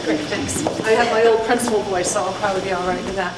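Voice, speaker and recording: A woman assistant superintendent giving a clear, cautionary explanation at a town meeting. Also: medium pitch, steady tone, fast, over a noisy crowd. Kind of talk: official remarks at a meeting. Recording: echoey hall, far from mic, faint noise.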